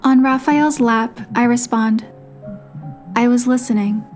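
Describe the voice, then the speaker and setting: Female narrator, higher register, scripted romantic drama storytelling, music underneath. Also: a little slow, a little mellow. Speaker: narrator and voiced player character of a game story. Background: music behind the voice.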